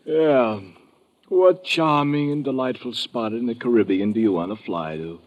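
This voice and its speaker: Bored, skinny male counter clerk played by a man, mid-pitched, dry and sarcastic, muffled vintage radio. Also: moderate pace, lightly acted. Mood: sardonic. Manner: sleepy, sarcastic, hesitant mumbles. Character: tall skinny bored, sardonic male airline ticket agent. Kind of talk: old-time radio drama acting. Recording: muffled old radio recording.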